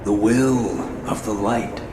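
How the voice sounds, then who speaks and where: A man playing a cryptic, menacing old scholarly warrior spirit in a video game, speaking gravely and theatrically. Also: on the low side, steady tone, slow. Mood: fervent, philosophical. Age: older. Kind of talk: scripted dramatic game voice acting. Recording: roomy reverb, noisy game ambience.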